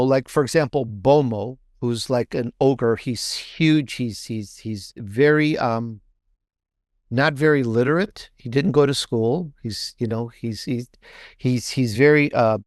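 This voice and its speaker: A man's voice: mid-range voice, slow, dry recording, clean studio sound. A man speaking as an author guest in a casual interview, enthusiastic and emphatic, repeating phrases to drive his point home.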